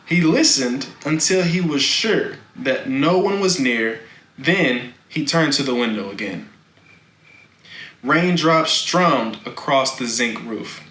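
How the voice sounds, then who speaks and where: A man narrating a children's novel in a deliberate, dramatic, expressive reading style. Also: mid-range voice. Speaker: storybook reader. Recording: echoey room, faint background noise.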